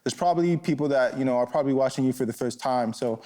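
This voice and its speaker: A man's voice: mid-range voice, fast talker. A young man from Canada hosting an interview, asking questions casually with lots of "you know" and affirming replies. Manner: relaxed, filler-heavy, affirming "facts". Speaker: interview host.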